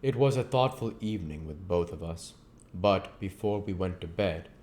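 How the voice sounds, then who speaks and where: A man's voice: low voice, normal speed, darkish tone. A man reading a classic novel aloud as narrator and host of his own channel.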